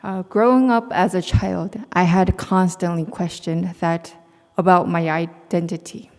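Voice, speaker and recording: A young woman in her twenties speaks English with a Korean accent, low-pitched for a woman. She talks in a careful, sincere way with little stumbles and restarts. The sound carries the long reverb of a large hall. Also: fairly even intonation, measured pace, darkish tone. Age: 20s. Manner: earnest, reading carefully with small restarts. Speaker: student speaker. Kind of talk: prepared personal talk.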